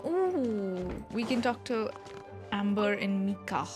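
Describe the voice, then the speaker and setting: A woman's voice: mid-range voice, animated, slow pace. A playful, slangy woman giving casual reaction commentary as she streams a game, with music underneath.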